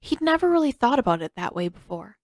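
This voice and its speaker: A woman's voice: fairly high pitch, big pitch swings, quick pace, no room sound, studio quality. A woman reading scripted fiction as an audiobook narrator.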